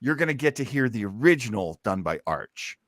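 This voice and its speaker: Male show host, bright and keen, chatting casually and enthusiastically, recorded dry. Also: mid-range voice, sing-song, a little fast, dry recording. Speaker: host of an old-time radio listening show. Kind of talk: casual hosting and discussion.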